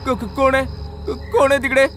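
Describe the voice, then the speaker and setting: A man's voice: very high voice, moderate pace, a little hiss or hum, voice pushed up. A man voicing an adventurous young male traveller in a horror story, speaking in casual slang. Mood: tired, uneasy. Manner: excited, casual, friendly slang. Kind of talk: dramatised horror story dialogue.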